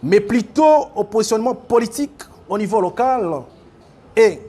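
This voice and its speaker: A man with a Congolese French accent, formally reading out a declaration as a spokesman in a halting, deliberate way. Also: high-pitched, animated, mellow. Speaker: spokesman reading a youth group's statement.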